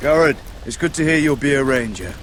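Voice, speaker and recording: An actor playing an earnest, kindly young sworn brother who mentors recruits in a fantasy video game, grave and measured. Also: male voice, mid-range voice, expressive, fairly bright tone, a little hiss or hum, natural voice. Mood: warm. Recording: noticeable game ambience behind.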